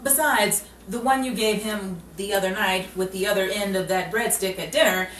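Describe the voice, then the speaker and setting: A woman's voice: medium pitch, moderate pace, fairly bright tone, lightly acted. A woman playing a put-upon 1940s Hollywood woman, wisecracking with dry exasperation in a radio comedy style. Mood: sarcastic. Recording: roomy, distant mic with faint noise.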